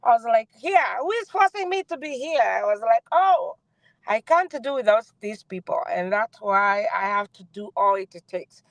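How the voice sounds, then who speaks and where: A woman with a Kenyan accent chats casually to a group. Her voice is a little high, and the sound is dry and close, recorded on a phone inside a parked car. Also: expressive, moderate pace, no room sound, poor audio. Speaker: participant in a group online meeting. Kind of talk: casual introduction and chat.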